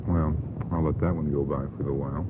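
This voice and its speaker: A very deep-voiced man questions guests as a radio host. He is skeptical and dryly sardonic, polite on the surface. The sound is a narrow, noisy vintage broadcast. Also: flat delivery, brisk. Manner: dry, sardonic, mock-polite. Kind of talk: skeptical questioning on a talk show. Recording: noisy, tinny old radio recording.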